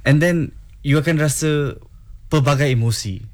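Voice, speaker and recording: A man's voice: on the high side, normal speed. A man speaking as a guest, giving earnest, encouraging advice and repeating words for emphasis. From grew up in Singapore and Hong Kong. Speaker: guest entrepreneur. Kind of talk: casual advice talk, mixing English and Malay.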